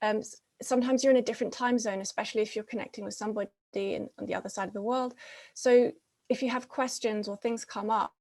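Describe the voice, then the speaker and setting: A woman speaking at a conference, her voice at an ordinary middle pitch. She explains things step by step and often stops to search for a word or start a phrase again. Her first language is English. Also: fairly even intonation, fast talker, darkish tone. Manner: frequent fillers and restarts, explanatory. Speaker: conference presenter. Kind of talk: academic conference presentation.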